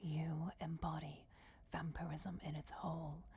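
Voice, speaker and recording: A woman's voice: low-pitched, level tone, measured pace, gravelly, a little mellow, a little hiss or hum. A woman voicing a regal, commanding vampire goddess in a solemn whispered fantasy ASMR roleplay. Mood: solemn, intimate. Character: ancient vampire goddess, commanding, softly menacing, bestowing destiny.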